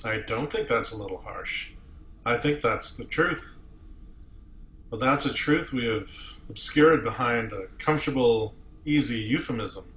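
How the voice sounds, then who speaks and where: A man reading the part of a commanding starship captain in a table read, arguing deliberately and rhetorically as a defence advocate. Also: medium pitch, animated, a bit bright. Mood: grave, thoughtful. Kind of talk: amateur script table read. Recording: roomy echo with clear background noise.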